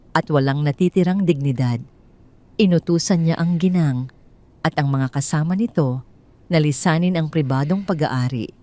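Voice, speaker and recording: A woman's voice: fairly low pitch, darkish tone. A woman with a Filipino accent reading scripted third-person dramatic narration as an audio drama storyteller.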